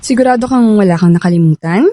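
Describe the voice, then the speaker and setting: A woman's voice: medium pitch, quick pace, mellow, natural voice. An actress playing a loving, fretful young Filipina girlfriend in a radio drama, speaking tenderly and pleadingly. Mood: sad, worried.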